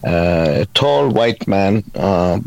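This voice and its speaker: Deep gravelly man telling his testimony. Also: expressive, slow, darkish tone. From Pakistan. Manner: hesitant, many fillers and restarts. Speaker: guest, evangelist. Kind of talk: testimony interview.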